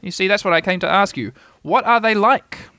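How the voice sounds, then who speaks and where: A man's voice: sits high, lively intonation, brisk, bright, forceful tone, no echo, pitched above the performer's own voice, heavily acted. A man voicing a bossy, self-important storybook rabbit with a curt, officious manner. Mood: solemn, puzzled. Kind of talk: storybook character voice.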